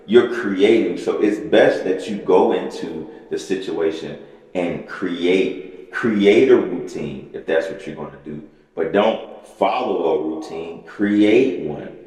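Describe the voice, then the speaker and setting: A man's voice: sits low, darkish tone. A man who works as a personal trainer, co-hosting a casual podcast and talking in a rambling, repetitive, motivational way. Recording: very echoey room with long reverb.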